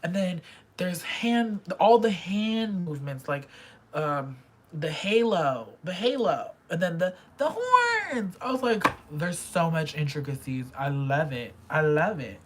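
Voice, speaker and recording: A young woman talks through her favorite songs in a relaxed vlog, gushing like a fan. Her voice sits low but swings widely in pitch, and she takes her time. Now and then she drops to a near whisper. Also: measured pace, slight background noise. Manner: chatty fangirl, gushing, drops into quiet whispers. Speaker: K-pop album reviewer. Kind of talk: casual music review vlog.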